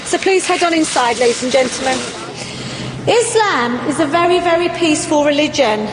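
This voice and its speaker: A woman's voice: high voice, animated, normal speed, background noise. A woman guiding a tour group inside a mosque, welcoming and explanatory. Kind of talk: guided tour talk. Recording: echoey large hall.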